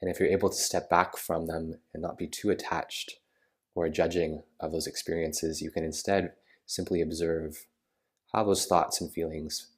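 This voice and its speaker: Deep, even-toned male meditation guide. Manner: soothing, unhurried phrasing with pauses. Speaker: yoga nidra meditation guide.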